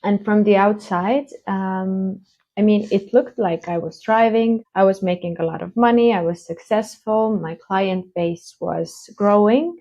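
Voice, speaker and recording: Slow-spoken woman from Estonia. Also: mid-range voice, dark tone. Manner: slow, hesitant, with many fillers. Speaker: conference speaker. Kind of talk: keynote talk. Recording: dull lo-fi online stream.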